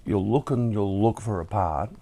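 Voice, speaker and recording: A man hosting a casual hobby build tutorial. His voice is slightly croaky after being ill, and he talks in a chatty, easygoing way, thinking aloud as he works. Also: fairly low pitch, normal speed, dark tone. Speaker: hobby shop host building a model kit.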